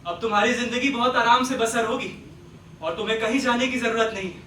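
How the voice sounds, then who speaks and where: A man acting an earnest, persuasive family man in a stage play, speaking in a solicitous, measured way. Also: extremely high pitch, fairly even intonation, sharp tone. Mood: tender, persuasive. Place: theatre stage, recorded from a distance. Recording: echoey stage, distant mic, faint noise.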